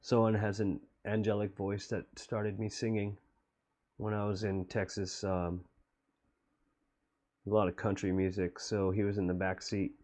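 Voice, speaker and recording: A middle-aged man talking through his own music video, slow and wistful. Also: little pitch movement, a little mellow. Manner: low-voiced, slow and wistful. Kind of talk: reflective commentary.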